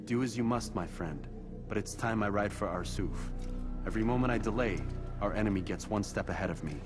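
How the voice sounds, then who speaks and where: An actor playing a terse, resolute young assassin in a video game cutscene, serious and clipped. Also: male voice, fairly low pitch, brisk, over music, lightly acted. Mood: insistent.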